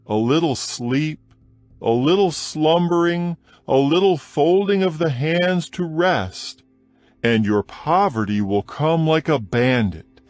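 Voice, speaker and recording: Slow, solemn male scripture reader. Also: a little high, no echo. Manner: slow, measured, solemn delivery. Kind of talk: dramatized scripture reading. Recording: faint music bed behind. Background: soft music.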